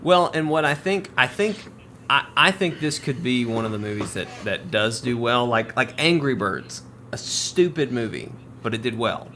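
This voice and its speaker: Man with mid-pitched voice, casual podcasting. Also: expressive, normal speed, pushed and bright, a little hiss or hum. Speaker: podcast co-host.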